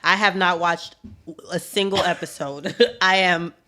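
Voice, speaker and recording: A woman's voice: lowish voice, steady tone, unhurried, a crowd in the background. A woman from Haiti co-hosting a podcast, talking candidly and self-critically in casual chat. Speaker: podcast co-host.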